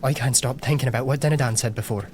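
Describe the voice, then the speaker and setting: A man plays a troubled young knight in an audio drama, searching and hesitant. The voice is mid-pitched, with faint ambient noise behind. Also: fast, darkish tone, natural voice. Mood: uneasy. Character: troubled, doubting young knight confiding in his brother.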